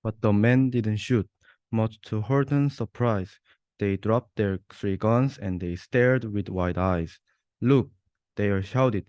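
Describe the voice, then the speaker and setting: Slow, mellow young man reading a story. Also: on the low side, dry recording. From South Korea. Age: young adult. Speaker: university student reader. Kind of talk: reading a rhyming children's storybook aloud.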